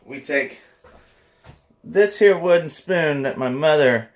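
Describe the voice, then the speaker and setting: A man's voice: a little high, lively intonation, slow pace. A man hosting a casual cooking video in a home kitchen, joking and chatty. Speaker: cooking vlog host.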